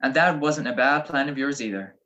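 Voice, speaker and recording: An actor playing a self-assured, witty private detective, wry and confident, in an old-time radio drama style. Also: male voice, medium pitch, brisk, bright, forceful tone, highs cut off, natural voice. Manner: wry, explaining deductions with cool confidence.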